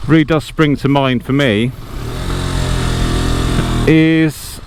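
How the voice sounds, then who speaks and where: A man's voice: fairly high pitch, fairly even intonation, slow pace. A man chatting casually to a vlog as he rides a motorbike, rambling, self-deprecating and easily sidetracked. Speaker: motorcycle vlogger. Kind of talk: casual vlog chat while riding. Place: outdoors, riding a motorbike inside a helmet. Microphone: lavalier inside helmet. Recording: loud wind, engine and road noise. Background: engine, wind and passing traffic.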